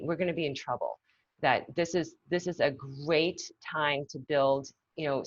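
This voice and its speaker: A woman's voice: low voice, no echo, highs cut off. A woman from Massachusetts, a marketing executive giving an interview, speaking thoughtfully with many "you know"s and restarts. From Massachusetts, north of Boston. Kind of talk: casual conversational interview.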